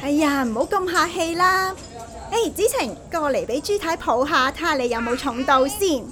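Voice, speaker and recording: A woman's voice: high voice, animated, normal speed, a bit bright. An actress playing a kindly, doting childminder with a Hong Kong Cantonese accent and a fussing, motherly manner in an audio drama. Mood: doting, cheerful. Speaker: voice actor.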